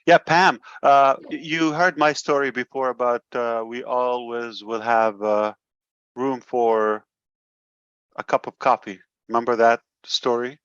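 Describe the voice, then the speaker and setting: A man speaking informally as a company leader at an online meeting, halting as he searches for words. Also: mid-range voice, fairly even intonation, fairly bright tone, clean tone, no room sound, dull audio. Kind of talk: informal motivational address and chat.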